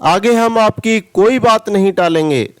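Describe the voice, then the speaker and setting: A man's voice: sits high, a little slow, clear, resonant tone, no echo, pitched above the performer's own voice. A man voicing a humbled goose in a storybook tale, pleading respectfully and apologetically. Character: humbled, pleading goose begging elder for help.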